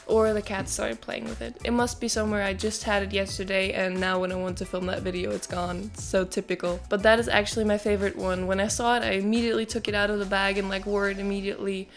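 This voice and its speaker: Chatty young woman vlogger, music behind. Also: medium pitch. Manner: chatty, frequent "like", casual swearing. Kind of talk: casual haul video chat. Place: small wood-paneled room indoors.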